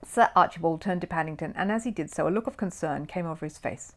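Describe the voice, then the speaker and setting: A woman storyteller narrating a children's book in an expressive audiobook style. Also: fairly low pitch, fast talker.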